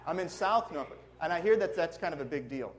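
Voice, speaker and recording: Minnesotan-accented male guest preacher, warm-hearted sermon and storytelling, slightly higher register. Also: lively intonation, fast, very noisy. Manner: earnest, friendly, chuckling asides. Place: church sanctuary before a congregation.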